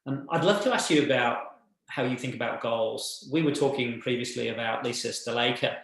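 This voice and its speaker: A man's voice: mid-range voice, fairly quick, creaky, fairly bright tone. A man hosting an interview, encouraging and praising his guest. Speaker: host. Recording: very echoey room.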